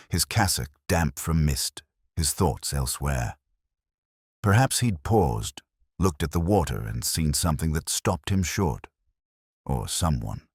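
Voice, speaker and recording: A man playing a brooding older detective inspector, narrating an audio drama in a measured, reflective, literary way, solemn and wry. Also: very deep voice, no room sound, studio quality, heavily acted.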